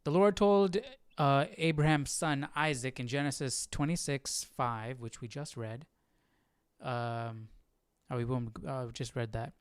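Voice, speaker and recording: A man's voice: mid-range voice, measured pace, clear, resonant tone, no room sound, studio quality. A man hosting a live Bible study, informal and curious, thinking aloud as he chats and reads. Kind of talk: casual livestream chat and reading aloud.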